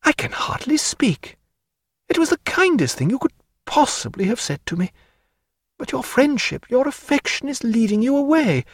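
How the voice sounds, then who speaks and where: A man's voice: extremely high pitch, sing-song, normal speed, dry recording, voice pushed up, exaggerated voice. A man reading a spirited, proud young woman in an audiobook, fiery and theatrical in manner, swinging from bitter to tender.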